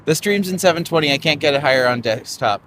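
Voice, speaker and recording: A man's voice: fairly high pitch, lively intonation, quick pace, background noise. A young man hosting a live-streamed walking tour outdoors on a city street, rambling and chatty with lots of fillers, recorded on a phone.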